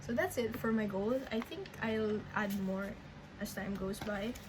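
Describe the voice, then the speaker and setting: A young woman with a Filipino accent speaks at a middling pitch, her first language being Filipino. She talks slowly and rambles, stopping and restarting often. A steady noise runs clearly behind her voice. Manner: slow, rambling, hesitant with many restarts. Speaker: language learning vlogger. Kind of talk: casual update vlog.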